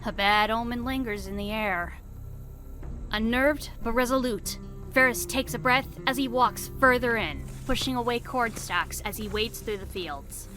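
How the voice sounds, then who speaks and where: A woman's voice: a little high, a little slow, pushed and bright, soft background music, low-quality recording. A woman reading scripted storybook narration for an audio drama.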